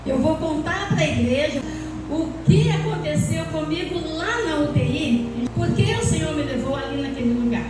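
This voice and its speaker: A woman's voice: high-pitched, animated. A Brazilian woman giving an earnest, emotional testimony to a church congregation. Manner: earnest, emotional storytelling with pauses. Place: church hall. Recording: very echoey church from far off, loud music. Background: loud music under the speech.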